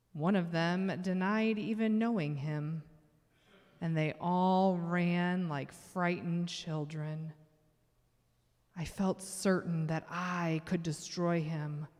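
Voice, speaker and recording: A woman performing a measured, solemn church reading as Fear, a menacing and boastful tormentor. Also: fairly low pitch, steady tone, a little mellow. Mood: thwarted, solemn. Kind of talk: dramatic church reading, monologue. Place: large church sanctuary. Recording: long reverberant church hall echo.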